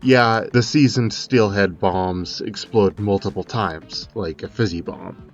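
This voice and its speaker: A man's voice: on the low side, takes it slowly, lo-fi sound. A man narrating a scripted video game analysis, with conversational asides thrown in. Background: music.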